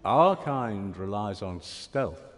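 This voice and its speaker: A haughty shadow warrior, with a low, husky voice that swoops with condescension. Long reverb trails his words, in fantasy game style. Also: lively intonation, takes it slowly. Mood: cold, haughty. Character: sly, haughty male shadow warrior from another realm. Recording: long reverb, music behind.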